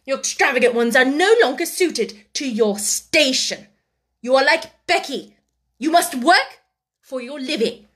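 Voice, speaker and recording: A woman voicing a haughty, domineering schoolmistress in an audiobook, sharp-tempered, scolding and imperious. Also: sits high, lively intonation, a little slow, pitched above the performer's own voice. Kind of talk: audiobook character acting.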